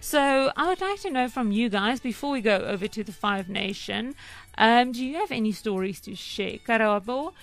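A woman's voice: on the high side, animated, normal speed, low-quality recording. A young South African woman with Afrikaans as her first language, hosting a conversational radio feature in a chatty way with frequent ums. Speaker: radio presenter.

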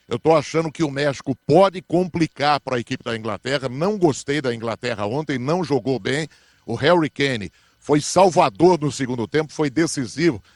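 A man talking as a radio sports commentator on a morning show, explaining matches in a measured way. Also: fairly high pitch, dry recording, studio quality.

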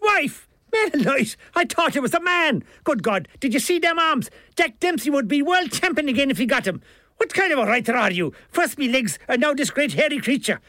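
A man's voice: very high voice, big pitch swings, brisk, bright, forceful tone, no echo, clean studio sound, voice pushed up, full character voice. A man voicing an indignant, lazy, argumentative Irish villager with a Dublin accent in a theatrical, exclamatory comic audiobook style. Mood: alarmed, indignant.

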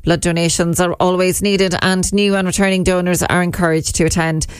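A woman with an Irish accent reading a radio news bulletin in a measured, even way at a studio microphone. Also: fairly low pitch, clean tone, no echo. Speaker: newsreader.

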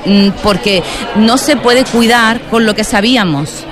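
A woman who is dean of a nursing faculty, speaking in a brisk, explanatory way at an outdoor event. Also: fairly high pitch. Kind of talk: on-location interview. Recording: outdoor event with crowd noise. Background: crowd chatter.

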